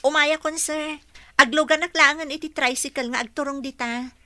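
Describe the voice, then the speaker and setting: A woman plays a young housekeeper in a radio drama. She is respectful yet sure of herself, with a bright, fairly high voice recorded dry and close. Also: fairly even intonation, normal speed, no echo. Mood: deferential. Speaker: voice actress in a radio play. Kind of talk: Ilocano radio comedy drama acting.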